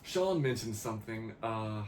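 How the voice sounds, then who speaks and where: A man's voice: on the low side, lively intonation, a little slow. A young man co-hosting a podcast, easygoing and agreeable in casual chat. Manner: easygoing, agreeable, laughs often. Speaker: podcast co-host. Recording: roomy echo, faint ambient noise.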